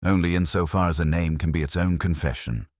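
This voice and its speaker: Man voicing a poised, soft-spoken villainess, low-pitched. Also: no echo, clean studio sound. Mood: coolly poised. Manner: soft, composed, deliberate. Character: poised, calculating, soft-spoken woman schemer. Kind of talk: storybook character reading.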